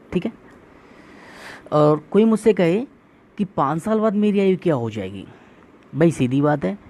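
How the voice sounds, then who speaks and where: A man's voice: a little high, darkish tone. A male maths teacher giving an exam-prep lesson, explaining step by step, asking questions and answering them himself, and calling viewers friends.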